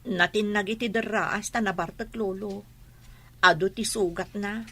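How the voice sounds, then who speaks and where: A woman's voice: medium pitch, fairly even intonation, normal speed, a bit bright, close to an everyday voice. A woman playing a dutiful, affectionate young daughter in a drama. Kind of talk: radio-style drama dialogue.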